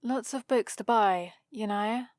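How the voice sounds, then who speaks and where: A woman's voice: on the high side, a little slow, darkish tone, no echo, lightly acted. A woman playing a haughty, sarcastic centuries-old vampire librarian in a scripted ASMR roleplay, with a dry, theatrical delivery. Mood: bemused, sarcastic.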